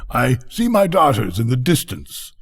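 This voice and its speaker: Man playing a smug, husky little frog. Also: medium pitch, huge pitch swings, full character voice. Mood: complacent. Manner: complacent, drawn-out self-satisfaction. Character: little male frog, complacent, smug and talkative. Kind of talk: storybook character reading.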